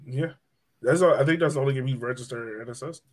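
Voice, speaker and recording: Mid-pitched man chatting about soccer stats. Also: quick pace. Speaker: soccer podcast panelist. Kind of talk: casual stats-led sports chat.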